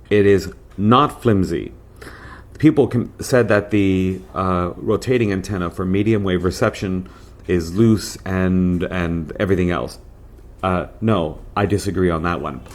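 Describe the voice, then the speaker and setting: A man hosting a product review in a casual, chatty way, often asking and answering his own questions and repeating words for emphasis. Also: low voice. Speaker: host of a radio review show.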